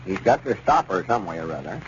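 An actor playing a self-important, easily exasperated old country storekeeper with a rural Arkansas accent, drawling and folksy in 1930s radio comedy style. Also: male voice, deep voice, very animated, a little fast. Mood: explaining. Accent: Southern US, rural Arkansas. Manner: folksy, know-it-all, drawling. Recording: dull, noisy 1930s radio recording.

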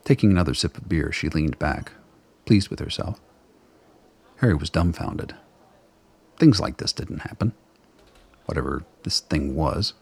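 Very deep, gravelly male radio drama narrator. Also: animated, unhurried, long pauses, a little mellow. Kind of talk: scripted literary radio play narration.

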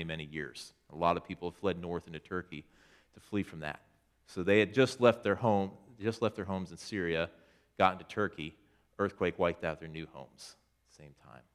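Deep-voiced man giving a church trip report. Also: brisk, clear, resonant tone. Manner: conversational, with many restarts, pointing at photos. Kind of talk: informal presentation to a congregation.

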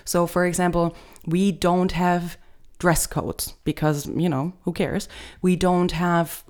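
A woman's voice: on the low side, little pitch movement, slow, darkish tone. A young woman from Germany, a native German speaker, explaining things casually in English with plenty of examples. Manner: explanatory, gives many examples.

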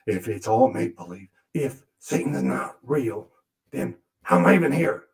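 A man's voice: medium pitch, big pitch swings, measured pace. A man voicing a crude, sarcastic male demon puppet who grumbles and swears through a comic monologue.

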